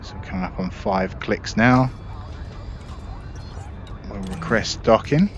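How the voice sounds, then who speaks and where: Low-pitched man doing casual game commentary. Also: slow pace, slight background noise. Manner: informal, explanatory. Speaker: gameplay video host. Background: spaceship game sounds.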